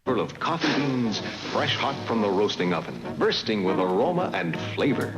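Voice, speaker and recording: A man's voice: on the low side, big pitch swings, normal speed, in a large hall, poor audio. A man reading a vintage commercial as an advertisement announcer. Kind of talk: vintage coffee advertisement read. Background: music.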